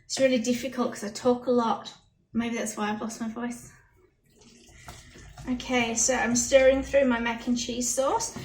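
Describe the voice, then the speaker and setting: A hoarse woman chatting through a cooking demonstration in her home kitchen, explaining each step plainly. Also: fairly high pitch, little pitch movement, moderate pace, a little hiss or hum. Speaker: home cooking channel host. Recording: roomy kitchen audio from a distance.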